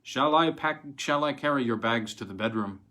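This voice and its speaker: A man's voice: medium pitch, normal speed, sharp tone, lightly acted. A man reading a tale voices an old Italian peasant manservant who answers simply and with resignation. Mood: resigned, humble.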